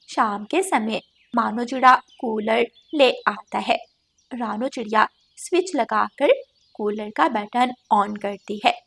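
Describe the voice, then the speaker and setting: A woman's voice: fairly high pitch, gravelly, fairly bright tone. A woman narrating a scripted cartoon bird story.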